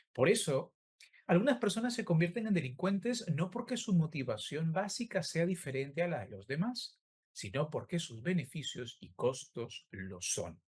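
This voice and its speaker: A man giving an online law lecture over a video call, speaking with a sore throat and many hesitant filler sounds. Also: on the high side.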